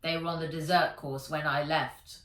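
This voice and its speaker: A woman's voice: low voice, level tone, normal speed, close to an everyday voice. Woman putting on a smarmy, formal robot butler in echo. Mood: stiffly formal. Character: smarmy male android horse butler, formal and servile. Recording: roomy, distant from the mic.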